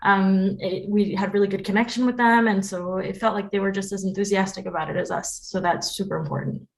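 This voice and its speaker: A woman talking casually as an interview guest about her work as a producer, chatty and quick to laugh. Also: mid-range voice, fairly even intonation. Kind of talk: casual, animated interview chat.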